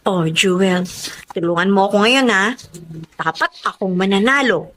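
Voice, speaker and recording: A woman's voice: medium pitch, moderate pace, a bit bright, a little hiss or hum, natural voice. An actress with a Filipino accent playing a grieving young woman who lost her twin, in emotional radio drama style. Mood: playful, wistful. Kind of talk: Filipino radio drama acting, emotional first-person narration. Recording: studio radio drama under music.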